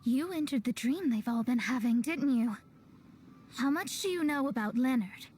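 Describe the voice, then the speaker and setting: A woman's voice: high-pitched, expressive, moderate pace, a little mellow, slight background noise. A woman voicing a proud, brainy young astrologer in a video game, speaking in an articulate, self-assured and theatrical way. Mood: probing. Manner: confident, articulate, expository.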